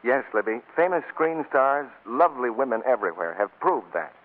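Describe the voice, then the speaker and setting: A man's voice: mid-range voice, very animated, normal speed. A man announcing for a sponsor in a polished, scripted 1940s radio style, on a tinny old recording. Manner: polished sales delivery.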